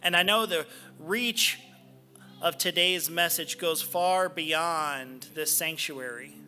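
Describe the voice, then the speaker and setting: A man speaking as a spiritual practitioner in a church sanctuary, giving devotional readings and prayer in deliberate, measured phrases with pauses. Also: fairly high pitch, pushed and bright, soft background music.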